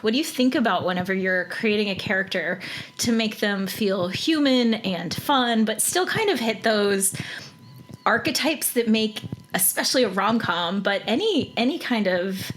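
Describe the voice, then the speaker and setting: Mid-pitched woman interviewing conversationally. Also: fairly bright tone. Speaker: podcast interviewer.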